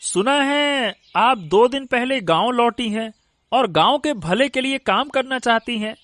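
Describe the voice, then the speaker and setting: Man acting a bashful young villager, swooping pitch. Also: animated, a little slow, a bit bright, no room sound, heavily acted. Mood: bashful, polite. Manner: hesitant, flustered, polite. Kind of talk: Hindi cartoon story acting.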